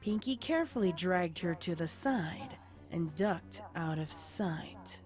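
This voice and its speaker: Low-pitched woman narrating a dark story. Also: lively intonation, takes it slowly, faint music behind.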